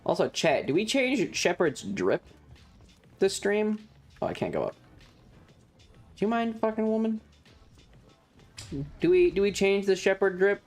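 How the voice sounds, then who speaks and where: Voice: mid-range voice, very animated, unhurried, long pauses. A streamer giving casual, sarcastic game commentary to chat, playfully crude and full of swearing. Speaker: streamer, host. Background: faint game music.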